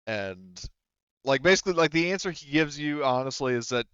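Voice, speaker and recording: A man's voice: on the high side, expressive, fairly quick, a bit bright, smooth, dry recording, highs cut off. A man narrating a tabletop game as game master in a casual, rambling way. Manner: rambling, loose phrasing heavy with "like".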